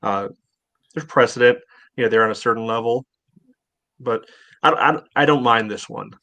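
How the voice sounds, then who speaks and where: A man's voice: mid-range voice, normal speed, creaky, sharp tone, dry recording. A man co-hosting a casual sports podcast, rambling and leaning on filler phrases. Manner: rambling, many "you know" fillers. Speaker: podcast co-host.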